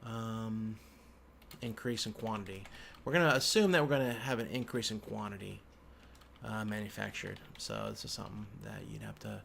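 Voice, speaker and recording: A man giving an informal tutorial as an instructor, thinking aloud in halting phrases with frequent restarts. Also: lowish voice, steady tone, a bit bright. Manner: halting, hesitant phrasing with repeated word restarts. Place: indoors at a computer. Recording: clear background noise, keyboard typing.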